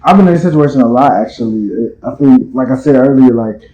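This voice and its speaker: A man hosts a casual interview, talking things through in a conversational way. His voice is warm and mellow. The sound is dull and echoes in a roomy space. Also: medium pitch, fast talker, slight background noise. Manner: conversational, with frequent affirming backchannels. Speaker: host.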